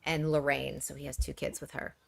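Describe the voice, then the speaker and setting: A woman's voice: rumbling low, level tone, brisk, gravelly. A woman in her thirties, an entertainment journalist joining remotely, chatting in an upbeat way and laughing as she talks. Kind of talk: casual chat. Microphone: remote call.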